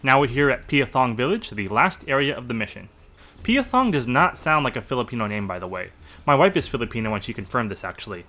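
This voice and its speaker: A man delivers informative gameplay commentary at a quick clip. His pitch moves around in a lively way as he methodically explains and adds his own opinions. The voice is bright and forward. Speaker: game walkthrough narrator.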